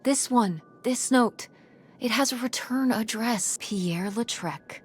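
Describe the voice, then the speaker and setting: An actress voicing a curious, eager teenage daughter on a road trip in a video game, talking in quick, insistent bursts of questions. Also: female voice, a little high, breathy edge. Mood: excited.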